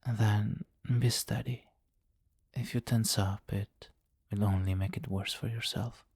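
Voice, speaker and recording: A man playing a possessive, teasing vampire king in an intimate ASMR roleplay, commanding and breathy, often slipping into whispers on a close mic. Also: lowish voice, steady tone, unhurried, a little mellow, no echo. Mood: commanding, hungry. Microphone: close microphone, dry.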